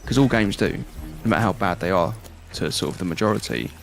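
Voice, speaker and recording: A man's voice: deep voice, fast talker, gravelly, faint music behind. A man co-hosting a casual gaming livestream, commenting in a blunt, sweary way. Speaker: game streamer co-host.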